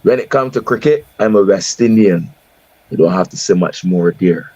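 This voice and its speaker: A man with a Bajan accent, a podcast guest talking sport in a calm, wry, mock-solemn way. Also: low voice, moderate pace, breathy edge, no room sound, highs cut off. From Barbados. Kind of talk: casual sports chat.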